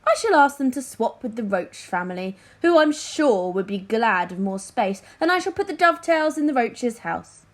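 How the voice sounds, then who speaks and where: A woman's voice: on the high side, very animated, moderate pace, hardly any pauses. Woman doing an old male courtier, obsequious, formal, deferential, lilting storybook delivery. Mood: obliging. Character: obsequious old male royal chief adviser.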